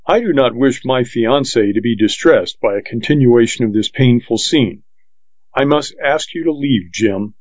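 A man voicing a stiff, pompous rich gentleman who speaks formally and lectures with scorn. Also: medium pitch, moderate pace, no room sound, natural voice. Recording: dull, lo-fi dry audiobook recording.

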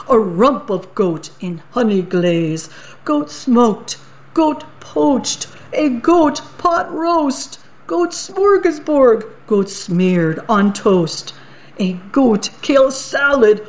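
A woman voicing a hungry, boastful male troll from a storybook, gloating in slow sing-song rhymes. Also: a little high, very animated, heavily acted. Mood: gleeful.

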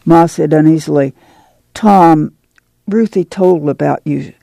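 A woman's voice: deep voice, unhurried, vocal fry, warm tone, no echo. A woman playing an anxious, tender but firm older mother in a dramatic reading of a novel. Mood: uneasy, worried. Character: anxious, tender, stern-willed rural mother, plain-spoken, older.

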